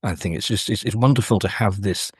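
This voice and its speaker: A man's voice: on the low side, brisk, breathy edge, dry recording, clean studio sound. A man talking as an academic guest, considered and hesitant, in a scholarly conversation. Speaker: academic author, interview guest.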